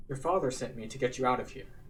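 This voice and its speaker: A man voicing a calm adventurer who reassures a rescued captive in a game. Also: medium pitch, fast, soft background music, lightly acted. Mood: reassuring.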